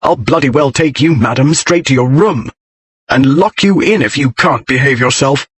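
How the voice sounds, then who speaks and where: A man voicing a quick-tempered young nobleman who speaks in a curt, stern, commanding way with a husky tone. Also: medium pitch, dry recording, natural voice. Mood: furious.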